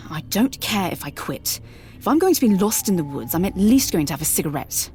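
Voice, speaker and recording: An actress playing an impatient, exasperated psychic, muttering fretfully in an audio drama. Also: female voice, lowish voice, sing-song, moderate pace, a little mellow. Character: impatient, exasperated female psychic stranded in woods. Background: crickets and faint music.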